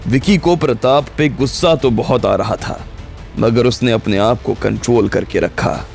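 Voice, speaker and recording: A man narrates a scripted audio story in a medium-pitched voice. Soft music plays behind his narration. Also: unhurried, creaky. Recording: background music under the voice.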